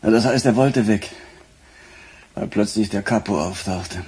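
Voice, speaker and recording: A weary police detective in a radio crime drama. The voice is low and heavily husky. He talks in a wry, conversational way. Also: measured pace, darkish tone. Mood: wry, recounting.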